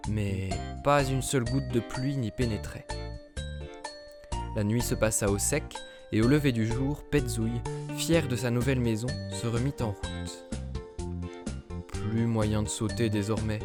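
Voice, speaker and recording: Guy narrating a kids story, lively and rhythmic, music playing under him. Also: mid-range voice, unhurried. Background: children's music.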